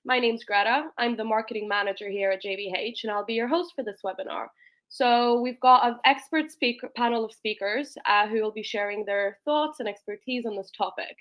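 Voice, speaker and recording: A woman's voice: fairly high pitch, a little fast, a bit bright. A woman hosting a company webinar, introducing speakers and asking questions in a friendly way. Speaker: webinar host, marketing manager.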